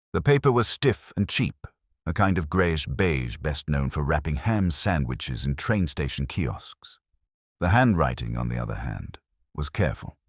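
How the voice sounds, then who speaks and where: Male narrator for scripted mystery fiction: very low, lively intonation, dry close sound. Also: no echo. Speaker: audiobook narrator.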